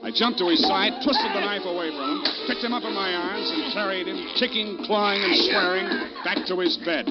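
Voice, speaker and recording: An actor playing a tough, sardonic private detective in an old-time radio drama, clipped and unflappable. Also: male voice, high-pitched, fairly quick, hardly any pauses, lightly acted. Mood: hard-boiled narration. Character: wry, tough private detective, cool under pressure. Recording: muffled vintage radio recording, music behind.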